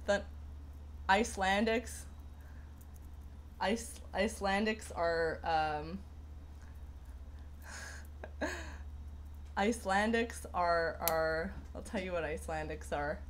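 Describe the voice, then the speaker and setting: A woman's voice: lowish voice, very slow, long pauses, slight background noise. A young woman hosting a casual livestream, rambling through viewer comments with lots of fillers and restarts. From Persian heritage. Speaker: livestream host.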